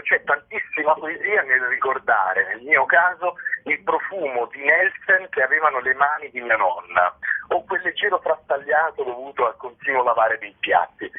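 A man's voice: mid-range voice, breathy edge. A man giving articulate, wordy interview answers as a playwright, with literary phrasing, heard through a muffled, band-limited connection. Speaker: playwright and director being interviewed. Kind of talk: articulate spoken interview answers about theatre. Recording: muffled band-limited radio phone-style sound.

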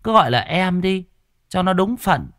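A man voicing a sharp-tongued, gossipy village woman who talks curtly and dismissively. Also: high-pitched, big pitch swings, moderate pace, dry recording, studio quality, pitched above the performer's own voice. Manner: curt, dismissive.

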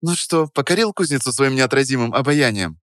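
A man voicing a lively young woman in an audiobook, teasing and easily huffy. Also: mid-range voice, brisk, no echo, studio quality, pitched above the performer's own voice, close to an everyday voice. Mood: playful teasing. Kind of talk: audiobook character voice.

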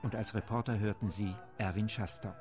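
A man calmly reading the closing credits of a radio play. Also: lowish voice, fairly quick. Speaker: credits announcer. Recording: music behind.

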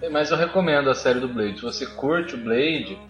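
A man's voice: medium pitch, brisk, a bit bright, highs cut off. A man with a Brazilian accent chatting casually as a podcast co-host, opinionated and slangy. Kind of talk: casual chat. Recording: roomy echo. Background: faint music.

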